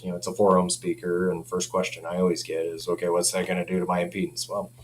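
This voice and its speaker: Man, low-pitched, easygoing product talk, colloquial filler-laden delivery, humming background noise. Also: monotone, fast talker, low-quality recording. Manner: easygoing, colloquial, peppered with "you know" and "man". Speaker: audio company representative. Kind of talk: casual product explanation. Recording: clear background noise with hum.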